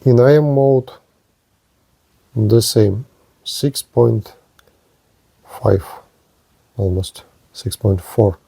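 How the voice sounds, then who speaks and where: Male, mid-pitched, unhurried hands-on product reviewer with a halting, plain delivery. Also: gravelly, dark tone, husky. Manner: halting, short phrases. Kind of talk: hands-on radio gear demonstration.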